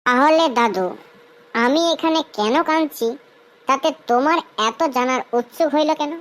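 Lady doing a cheeky little cartoon schoolboy, really high voice, whiny and sweary. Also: unhurried, a bit bright. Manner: whining, cursing, colloquial rustic speech. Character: whiny cheeky mischievous schoolboy who craves a smoke. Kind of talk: comic cartoon dialogue.

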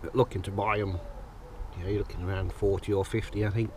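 Male vlogger, fairly low voice, deadpan casual delivery, recorded outdoors at a distance. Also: fast talker. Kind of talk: casual outdoor cooking commentary. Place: small brick-walled garden corner, outdoors. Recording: distant mic, noisy outdoor ambience.

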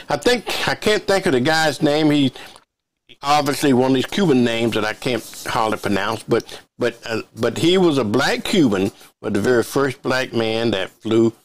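An older man hosting a television interview over a video call, talking in a rambling, halting way with many restarts. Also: mid-range voice. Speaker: TV show host. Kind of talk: casual interview chat. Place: home office, indoors. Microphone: headset on video call.

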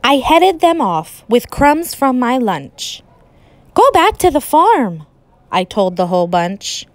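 A woman teacher reading a children's storybook aloud in an expressive, emphatic way with dramatic pauses. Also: high voice, dry recording.

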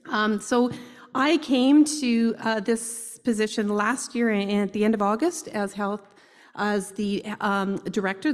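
An older woman from Saskatchewan, a health research chair, presenting on a video call in a personal way with ums and uhs. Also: mid-range voice. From Saskatchewan, Canada. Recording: roomy reverb.

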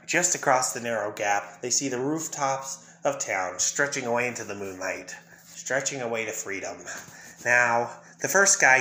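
Crazy clown villain from a comic, bright edgy voice, taunting and erratic. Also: male voice, mid-range voice. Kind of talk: fan dramatic reading of a comic.

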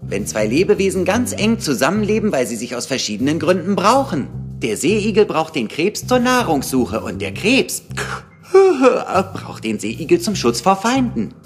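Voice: medium pitch, sing-song, moderate pace, soft background music, close to an everyday voice. An actor voicing a hesitant, scholarly cartoon sea expert in a children's audio drama. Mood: thoughtful.

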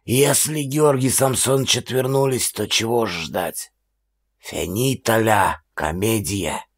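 A man acts out a shabby old man from an audiobook tale, humiliated and sorrowful. He speaks slowly and haltingly in a husky, hoarse voice, plaintive and meekly deferential. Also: fairly low pitch, heavily acted. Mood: bitter resignation. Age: older. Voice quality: husky, hoarse half-whisper.

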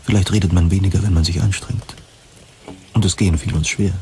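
A man's voice: low voice, fairly even intonation, a little fast, dark tone, soft background music. A man narrating a scripted first-person science fiction story for an audio drama.

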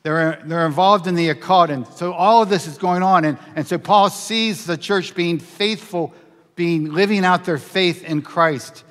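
A middle-aged male pastor preaches from the pulpit in a fairly high voice. He asks earnest questions and presses his points with emphasis. The church livestream recording carries a long reverb from the sanctuary. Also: unhurried, a bit bright. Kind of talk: sermon with scripture reading and prayer.

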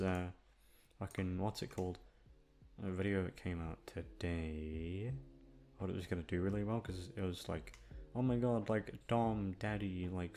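A man's voice: low-pitched, darkish tone. A man chatting casually to his listeners, rambling in a downbeat, complaining way and swearing freely. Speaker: channel host, audio voice actor.

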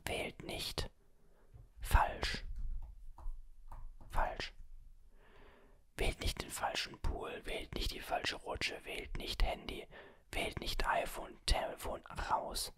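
Slow, airy whisperer guessing words. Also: bright, forceful tone. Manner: whispers throughout, guessing words aloud and musing; playful, complains at the game. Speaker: video host playing a word-guessing game. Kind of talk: whispered gaming commentary.

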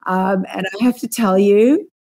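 A woman's voice: medium pitch, a little slow, mellow. Casting: South African woman, forties, talkative co-host, webcam sound from a room at home. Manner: chatty, lots of ums, enthusiastic. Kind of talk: casual chat. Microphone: webcam on a video call.

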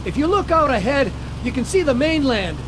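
A man's voice: very high voice, big pitch swings, moderate pace, background noise. An actor playing a devout, protective middle-aged father in a family audio drama. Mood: warm, reassuring. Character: devout, protective father facing a plane crash.

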